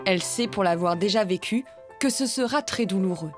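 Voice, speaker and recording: A woman reading a documentary voice-over in an even, measured way. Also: mid-range voice. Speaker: documentary narrator. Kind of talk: scripted voice-over narration. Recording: music playing underneath.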